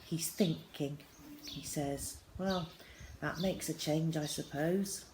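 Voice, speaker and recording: Female storyteller, slightly low pitch, expressive diary narration for children, faintly noisy home recording. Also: takes it slowly. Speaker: storyteller reading a children's novel aloud. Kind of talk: expressive first-person diary storytelling, read aloud.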